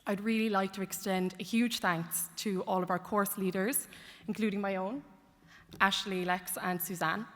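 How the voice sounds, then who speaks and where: A young woman with a bright, clear voice delivers a heartfelt graduation address, mixing sincerity with light humour. Her words carry through a lectern microphone, trailing a long reverb across a large concert hall. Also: mid-range voice, fairly even intonation, normal speed, slight background noise. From Ireland. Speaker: graduate speaker. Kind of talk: commencement speech.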